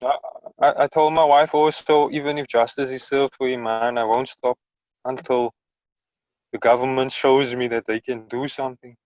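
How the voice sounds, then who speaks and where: Man, grieving father, earnest and pleading, level monotone delivery, muffled lo-fi line. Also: mid-range voice, moderate pace, no echo. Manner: earnest, pleading, stresses his words. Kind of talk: call conversation.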